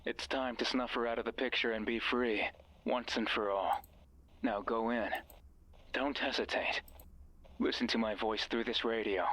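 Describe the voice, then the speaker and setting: A man's voice: mid-range voice, steady tone, normal speed, a bit bright, lightly acted, poor audio. A man voicing a sinister, controlling radio voice that gives manipulative, insistent commands in a horror visual novel. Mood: coldly commanding. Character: sinister controlling male radio voice urging violence.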